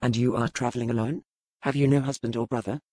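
Gruff, suspicious young first mate, played by a female narrator: low, curt, stubborn, storybook dialogue, dry sound. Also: fairly even intonation, quick pace, mellow, no echo. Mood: doubting, questioning. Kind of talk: audiobook character dialogue.

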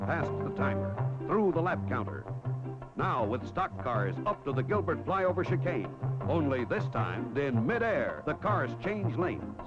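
Punchy male announcer over loud music. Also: a little high, measured pace. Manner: excited, punchy. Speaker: commercial announcer. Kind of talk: 1960s TV toy commercial narration. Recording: dull vintage TV film soundtrack.

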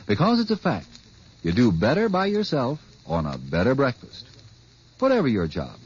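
Very animated, husky old-time radio pitchman. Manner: folksy, emphatic, direct address. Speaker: commercial pitchman. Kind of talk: 1950s radio advertising pitch. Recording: dull vintage radio broadcast.

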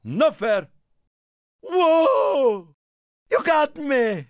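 A man voicing a small, excitable, friendly Italian car in a video game, theatrical and exclamatory, with an Italian accent and broken English. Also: very high voice, very slow, no room sound, studio quality, exaggerated voice. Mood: excited. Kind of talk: animated video game character lines.

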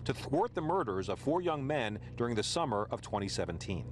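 A man's voice: medium pitch, big pitch swings, a little fast. American male TV reporter, very varied melody, scripted news copy.

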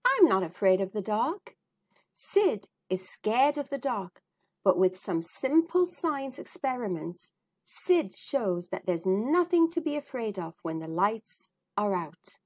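A woman reading a children's storybook aloud in an expressive, deliberate way, addressing young listeners. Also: fairly high pitch, husky.

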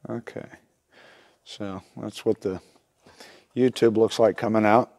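A man in his fifties with a fairly low voice explains a hands-on repair. He is plainspoken and casual, taking things step by step as an instructor at his workbench. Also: fairly even intonation, slow pace, vocal fry, darkish tone. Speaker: instructor demonstrating lantern repair. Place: indoor wooden workshop at a workbench.

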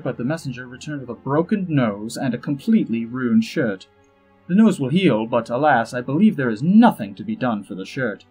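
A man playing a suave, haughty elf diplomat in a fantasy audio drama, with measured, arch, dryly witty delivery. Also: medium pitch, darkish tone, husky, close to an everyday voice, rough recording. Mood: dryly amused. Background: background music.

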